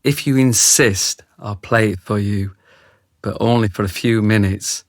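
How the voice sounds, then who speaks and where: An actor playing a brutish ex-soldier who narrates a radio drama, speaking straight to the listener. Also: male voice, fairly low pitch, measured pace, dry recording, studio quality, natural voice. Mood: grave, confiding. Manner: grave, confiding, addresses the listener directly. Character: remorseful thick brute ex-soldier, male confessional narrator.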